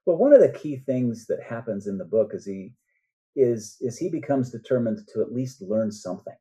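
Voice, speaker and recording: A man's voice: medium pitch, lively intonation, normal speed, warm tone. A man speaking as a guest author in a casual interview, earnest and reflective as he explains his thoughts.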